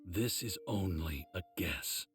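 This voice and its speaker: Deep old mentor, grave n measured, game cutscene, music under it. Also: male voice. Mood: grave, solemn. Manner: measured, solemn, instructive. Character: grave old male mentor of demon slayers. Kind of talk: English game dub, measured explanatory dialogue.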